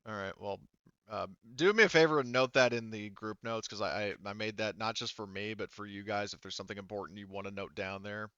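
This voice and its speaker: A man running a tabletop game as game master, explaining rules casually and haltingly as he thinks aloud. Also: lowish voice, fairly even intonation, pushed and bright, clear, resonant tone, dry recording, highs cut off.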